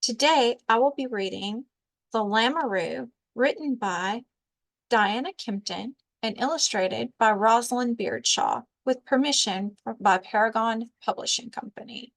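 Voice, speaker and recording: A woman reads a picture book aloud for children in the manner of a library storyteller. Her voice is on the high side, and she takes it slowly, shaping the narration with deliberate expression. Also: creaky, rough recording.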